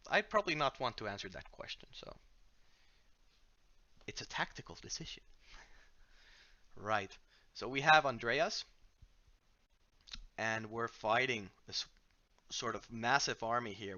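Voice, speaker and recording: A man from Finland with a Finnish accent, hosting a casual game livestream on a headset mic, relaxed and self-deprecating as he plays and answers viewer questions. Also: mid-range voice, measured pace, long pauses, muffled recording. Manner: relaxed, self-deprecating, frequent filler words and restarts. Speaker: livestream host from the game company. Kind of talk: casual livestream chat while playing and reading viewer questions.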